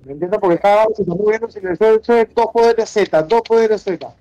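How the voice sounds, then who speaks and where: A man's voice: high-pitched, quick pace, dark tone, lo-fi sound. A Venezuelan man chatting casually and swearing in slang while he streams an online game. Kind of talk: casual voice chat while gaming.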